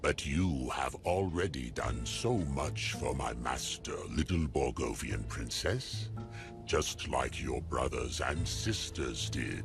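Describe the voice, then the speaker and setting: An extremely deep, gravelly monster villain for a video game. The creature speaks slowly and pompously, a haughty servant of a dark master, with music behind. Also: male voice, lively intonation, full character voice. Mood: haughty menace. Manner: slow, pompous monologue.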